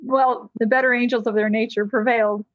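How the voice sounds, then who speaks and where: An American woman, a licensed counselor, telling a personal story as a podcast guest in a lively, conversational way. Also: on the high side, fairly quick, dry recording, lo-fi sound. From United States.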